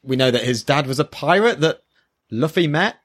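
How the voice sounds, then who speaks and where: A man's voice: on the high side, expressive, normal speed, a bit bright. A man giving his casual impressions as a co-host, hesitant and searching for words, recorded on a built-in microphone. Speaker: podcast co-host, first-time manga reader. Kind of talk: casual review chat.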